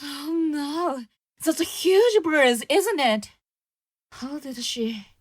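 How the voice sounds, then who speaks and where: A woman acts out a kind-hearted young investigator in a radio drama. Her high voice swings widely and expressively, with an unhurried pace. She sounds earnest and outspoken. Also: expressive, dry recording, heavily acted. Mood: worried. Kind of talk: audio drama acting.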